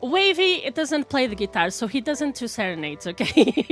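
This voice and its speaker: A woman's voice: sits high, very animated, moderate pace, soft background music. A woman from Portugal with a Portuguese accent, chatting casually with viewers on a live stream, laughing often and restarting her words.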